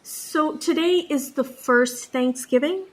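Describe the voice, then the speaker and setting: Slow, sarcastic woman reporter. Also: high-pitched. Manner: wry, reluctant and sarcastic. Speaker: comedy reporter. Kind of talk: scripted comedy sketch.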